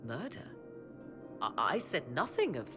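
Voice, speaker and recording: A woman's voice: extremely low pitch, huge pitch swings, a little slow, over music, heavily acted. An actress playing a proud, ambitious witch scholar in a fantasy game, with imperious manner and archaic, formal diction. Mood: skeptical. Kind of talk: dramatic fantasy game acting.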